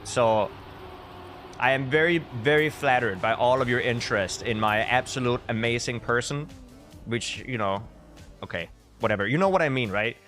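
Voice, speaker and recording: A man's voice: medium pitch, normal speed, a bit bright. Man casting a game stream over music. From Denmark. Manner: dry, tongue-in-cheek joking. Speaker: esports caster and streamer. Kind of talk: casual live stream chat with game commentary.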